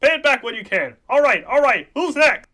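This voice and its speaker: A man's voice: very high voice, big pitch swings, moderate pace, pushed and bright, full character voice. A man voicing an earnest young frog puppet in a comic radio play, urgent and a little hesitant. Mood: kindly urgent.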